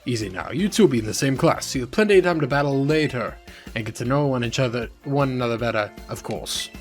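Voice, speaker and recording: A man's voice: medium pitch, animated, quick pace, soft background music, lightly acted. A man playing a polite, formal school director in scripted game dialogue, courteous and proper. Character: polite, formal adult male academy director.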